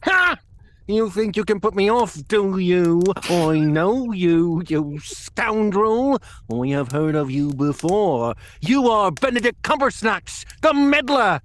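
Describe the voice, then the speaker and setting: An overblown, menacing villain in a radio comedy. His voice is extremely high and lively in pitch, full of bellicose accusation. Some faint noise and clicks surround it. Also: slow, heavily acted. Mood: scornful. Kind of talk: live comic cold-read of 1940s radio drama.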